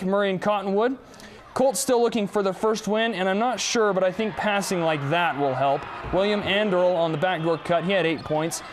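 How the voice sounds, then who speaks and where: A young American man narrating high school and college sports highlights with an energetic, punchy delivery. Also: background noise. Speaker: sports reporter intern.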